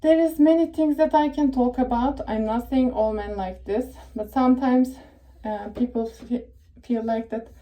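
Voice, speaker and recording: A young woman with a Turkish accent talks through career tips in loose, meandering sentences, stopping often to correct herself. Her voice sits fairly high, yet it sounds very dark and soft rather than bright. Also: steady tone, moderate pace, poor audio. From Turkey. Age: young adult. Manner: rambling, self-correcting, chatty with frequent fillers. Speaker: tech professional giving advice. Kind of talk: casual advice vlog.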